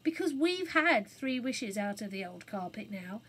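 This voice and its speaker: A woman's voice: medium pitch, very animated, a little slow, fairly bright tone, slightly rough, exaggerated voice. A woman voicing a forthright, cheeky young boy in a children's storybook, blunt and slangy, easily exasperated. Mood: bitter, exasperated.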